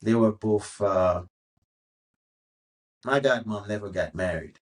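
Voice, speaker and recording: A man in his fifties from Haiti with a Haitian accent, a guest telling his life story in a relaxed, unhurried way. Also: fairly low pitch. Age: 50s. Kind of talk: casual interview storytelling.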